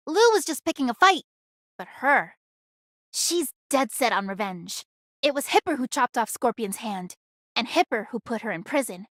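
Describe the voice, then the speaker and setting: A woman's voice: fairly high pitch, very animated, normal speed, fairly bright tone, no room sound, clean studio sound. A woman voicing a feisty, indignant young woman in an anime video game, speaking in an emphatic, scolding way. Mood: explaining, wary.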